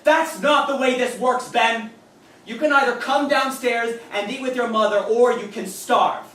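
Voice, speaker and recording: Brief: man doing a cold, scolding mom, pitched high, roomy distant sound. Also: steady tone, a little slow, fairly bright tone. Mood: stern. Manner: curt, scolding. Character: stern middle-aged mother, fed-up and cold. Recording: echoey, distant mic, faint ambience.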